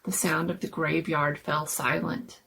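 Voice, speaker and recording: A woman's voice: low voice, little pitch movement, unhurried, creaky, fairly bright tone, a little hiss or hum. A woman giving a dramatic, suspenseful scripted narration of a scary story.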